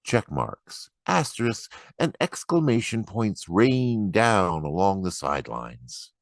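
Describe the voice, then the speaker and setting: Deep, slow, expressive male poetry reader. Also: gravelly, no echo, studio quality. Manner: expressive, deliberate, acts out quoted remarks. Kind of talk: dramatic poetry reading.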